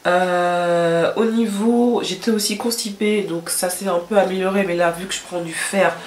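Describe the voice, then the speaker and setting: A woman's voice: fairly low pitch, steady tone, normal speed, a little mellow, echoey room. A woman with a stuffy, nasal voice from a cold, chatting casually to camera in a rambling way with many hesitations. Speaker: vlogger.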